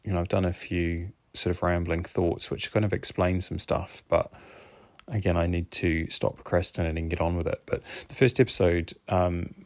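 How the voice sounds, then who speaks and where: A man from New Zealand with a New Zealand accent talks through his thoughts on his own podcast, earnest and a little hesitant. His voice sits low and stays level in tone. The sound is dry and close. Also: level tone, moderate pace, darkish tone, dry recording. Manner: earnest, thinking aloud, hesitant. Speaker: podcast host, fitness coach. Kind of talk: unscripted solo podcast musing.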